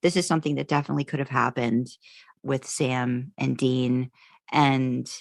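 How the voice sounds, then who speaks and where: Female podcast co-host, low register, dry sound, casual and discursive. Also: fairly even intonation, moderate pace, vocal fry, no echo. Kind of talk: casual chat about fan fiction.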